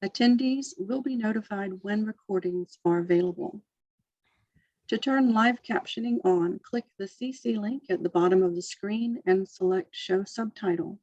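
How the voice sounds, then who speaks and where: A woman reads out scripted session announcements in a formal, measured way, at a middle pitch. The audio is dull and lo-fi, like a video call. It sounds dry and close, with no room around her. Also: no room sound. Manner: formal, even reading. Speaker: session convener.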